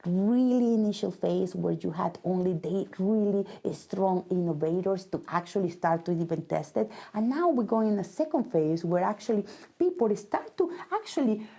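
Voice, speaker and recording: A woman's voice: medium pitch, lively intonation, moderate pace, vocal fry, mellow, husky. A woman from Venezuela with a Venezuelan Spanish accent, a native Spanish speaker and robotics business owner, answering interview questions earnestly and sometimes searching for words in English.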